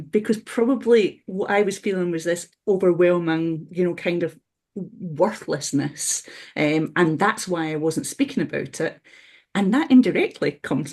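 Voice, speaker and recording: A woman's voice: on the low side, a little mellow. A woman with a Scottish accent, talking reflectively on a webinar panel and often restarting her sentences. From Scotland. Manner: reflective, with frequent restarts and "you know". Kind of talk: casual conversation.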